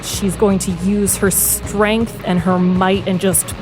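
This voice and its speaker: A woman player in a tabletop fantasy game describes her character's moves at a slow, measured pace. Her pitch is average, and music is plainly audible underneath. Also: flat delivery, poor audio. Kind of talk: narrating her character's actions.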